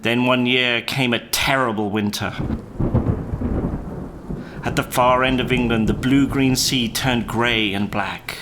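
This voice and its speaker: A man reading a children's story aloud in a measured, expressive storytelling manner. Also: medium pitch. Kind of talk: scripted children's story narration. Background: guitar music.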